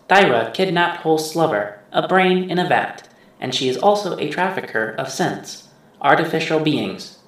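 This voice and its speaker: A man voicing a computer implant that formally recites stored memories in a measured scripted recap. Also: a little high, lightly acted. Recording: heavily echoing processed voice.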